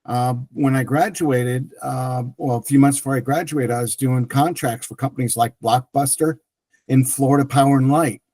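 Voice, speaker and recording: A man's voice: mid-range voice, fairly even intonation, normal speed, darkish tone, dry recording, rough recording. A middle-aged man whose first language is French, telling his career story as an interview guest with many restarts and fillers. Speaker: guest, tech entrepreneur.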